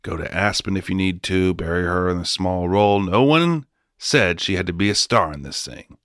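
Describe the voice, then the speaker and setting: A man's voice: sits low, normal speed, fairly bright tone, no room sound. A man playing a flustered studio boss in a comic radio drama, stammering and placating as he wheels and deals. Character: smooth-talking, flustered male studio boss wheeling and dealing.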